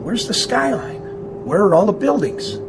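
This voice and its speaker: Uneasy, high-voiced flight crewman. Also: big pitch swings, moderate pace, over music. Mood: disbelieving. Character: uneasy male flight crewman, disbelieving and awed. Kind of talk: radio drama acting.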